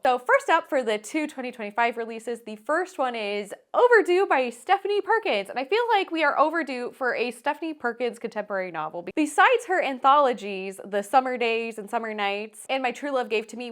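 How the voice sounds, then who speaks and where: A woman with a fairly high voice hosts a casual book vlog. She talks to her viewers in a chatty, informal review style. Her delivery is gushing and full of enthusiasm. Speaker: book vlogger, host.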